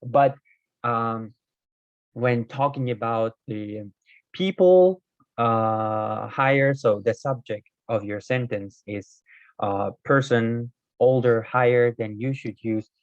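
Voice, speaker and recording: A man whose first language is not Korean, explaining Korean grammar in a casual video lesson, hesitant with many fillers and self-corrections, his voice a little hoarse. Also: mid-range voice, takes it slowly, no room sound. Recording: dull, dry lo-fi recording.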